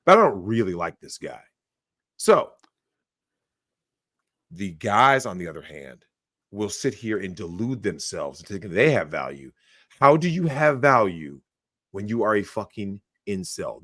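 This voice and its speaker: A man's voice: on the low side, a bit bright, no room sound, clean studio sound. A man giving blunt opinions on a livestream, talking emphatically, swearing and acting out the people he quotes. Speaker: guest.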